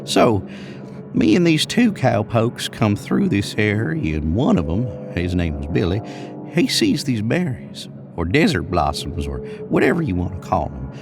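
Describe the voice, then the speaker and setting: Man voicing a creaky old folksy cowboy storyteller. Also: on the low side, exaggerated voice. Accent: American. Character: older rambling cowboy spinning a spooky trail tale. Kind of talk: audio horror story character reading.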